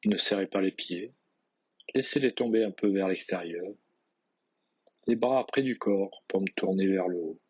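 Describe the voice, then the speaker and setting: A man guiding a yoga nidra relaxation, reading measured instructions in a husky voice with soft phrase endings. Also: sits low, lo-fi sound. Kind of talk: guided relaxation meditation, read instructions.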